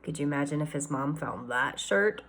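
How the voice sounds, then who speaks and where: Expressive woman reading a children's novel. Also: low voice, normal speed. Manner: expressive storytelling, varied emphasis. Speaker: teacher reading aloud.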